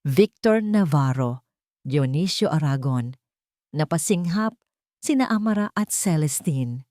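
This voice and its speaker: A woman's voice: low voice, animated, a little slow, warm tone, dry recording, clean studio sound. A woman with a Filipino accent narrating a scripted dramatic story.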